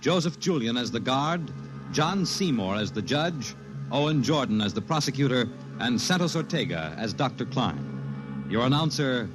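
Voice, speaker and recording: Male announcer, 1950s radio credits, emphatic, lively delivery, music underneath. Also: mid-range voice, normal speed, poor audio. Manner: crisp, emphatic, dramatic promo delivery.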